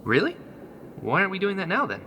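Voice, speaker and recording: An actor playing an earnest, caring and determined young rebel in a sci-fi audio drama. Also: male voice, high-pitched, huge pitch swings, fast, sharp tone, slight background noise, heavily acted. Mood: curious, concerned.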